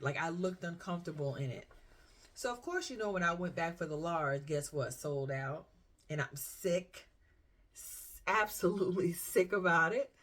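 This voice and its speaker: A middle-aged woman from South Carolina speaks with a Southern US accent in a fairly low voice. She talks casually, like a fashion vlogger sharing a haul. Her storytelling is chatty, and she voices her own inner reactions along the way. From South Carolina, United States.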